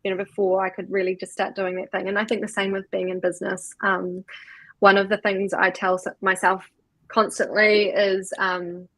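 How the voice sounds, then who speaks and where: A woman's voice: mid-range voice, little pitch movement, moderate pace, fairly bright tone, no room sound, low-quality recording. Reflective woman guest, dry close sound. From New Zealand. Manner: reflective, many fillers and restarts. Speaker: co-founder and co-CEO, guest. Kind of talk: casual interview answers.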